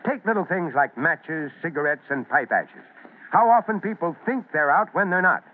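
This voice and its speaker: An actor playing a steady, fatherly fire chief with a folksy, wry manner in an old-time radio serial. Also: a little high, normal speed, natural voice. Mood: friendly instructive. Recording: tinny, band-limited old radio broadcast.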